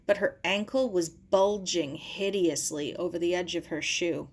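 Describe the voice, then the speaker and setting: Slow-paced woman reading a story. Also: on the low side. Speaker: audiobook reader. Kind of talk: scripted story narration.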